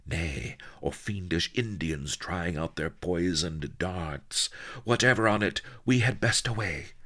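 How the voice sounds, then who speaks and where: A man's voice: low voice, takes it slowly, a bit bright, voice pushed up, heavily acted. A man voicing a passionate, lovesick pirate queen in a story, with theatrical, melodramatic archaic speech. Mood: alarmed, urgent.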